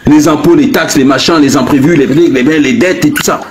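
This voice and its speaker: A Cameroonian man in his fifties hosts a live debate, firing pointed questions and leaning hard on each word. His voice sits a little high for a man, with a faint hiss behind it. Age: 50s. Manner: pointed, hammering questions, drawn-out stresses. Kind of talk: heated debate.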